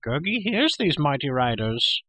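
A man's voice: on the high side, big pitch swings, a little slow, sharp tone, breathy edge, no room sound, pitched above the performer's own voice, heavily acted. A man voicing a hairy, half-animal storybook creature who wails, pleads and fawns hungrily. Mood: boastful, pleading. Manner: wailing, pleading, fawning, speaks of himself in third person. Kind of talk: storybook character voice.

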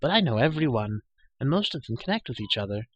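A man's voice: medium pitch, sing-song, fast talker, husky, dull audio. A man voicing a chatty, bossy young girl of royal birth in a storybook, prattling matter-of-factly and scolding. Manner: prattling, matter-of-fact, indignant. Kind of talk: storybook character voice.

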